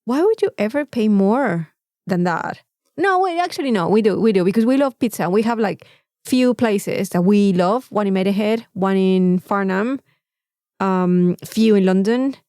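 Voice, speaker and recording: A woman's voice: medium pitch, lively intonation, moderate pace, dark tone, dry recording, studio quality. A woman with a Mexican accent and Spanish as her first language, chatting casually as a podcast co-host. She is effusive and repeats words for emphasis. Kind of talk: casual chat, anecdotes.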